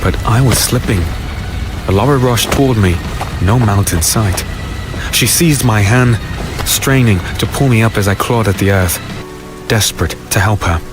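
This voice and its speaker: Man acting low, grave fantasy hero narrator. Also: normal speed, lightly acted. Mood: desperate. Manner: grave, dramatic first-person narration. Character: earnest young fantasy hero recounting his ordeal. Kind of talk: scripted dark fantasy audio drama narration. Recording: very loud dramatic music behind.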